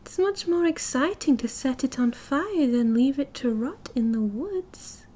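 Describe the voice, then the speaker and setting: A woman's voice: sits high, animated, warm tone. A British woman playing a cheerful, oblivious and possessive yandere girlfriend in an ASMR roleplay, with a breezy, giggly, dramatic manner. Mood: teasing, doting. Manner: giggly, breezy, dramatic, chatty with drawn-out casual delivery.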